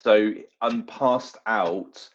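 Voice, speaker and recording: A man with a fairly low voice improvises comic narration as a player in a roleplay game. He rambles, circling back over his phrases. The recording sounds slightly muffled. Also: drawn-out words.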